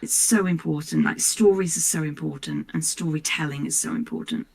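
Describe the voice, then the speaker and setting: A woman speaking casually and earnestly as an interview guest, stressing points by repeating words, with a creaky voice. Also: sits low, expressive, fairly quick, a little mellow, rough recording. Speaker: voice actor, podcast guest. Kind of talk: casual, heartfelt interview chat.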